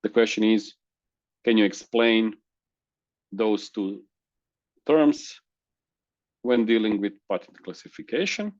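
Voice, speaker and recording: Halting low-voiced man presenting online. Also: a little mellow, no echo, muffled recording. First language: Croatian. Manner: halting, many restarts and fillers. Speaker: webinar presenter. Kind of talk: screen-share software demo. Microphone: video call.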